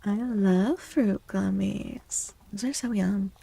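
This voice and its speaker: Female ASMR streamer, soft-spoken and unhurried, mellow tone, gentle casual chat. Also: mid-range voice, vocal fry, husky. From United States, born to Mexican parents. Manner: gentle, encouraging, replying to viewers. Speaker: VTuber streamer chatting with viewers. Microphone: close, dry microphone.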